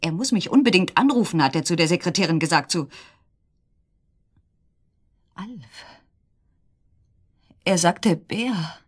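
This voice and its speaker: An actress in a German radio drama playing an anguished wife who accuses a treacherous friend with intense, halting questions. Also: female voice, lowish voice, lively intonation, husky, full character voice. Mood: accusing.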